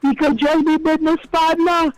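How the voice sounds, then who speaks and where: A man with a Trinidadian accent and a loud, big voice, talking emphatically in a casual radio chat as co-host. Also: extremely high pitch, little pitch movement, moderate pace, low-quality recording. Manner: emphatic, insistent.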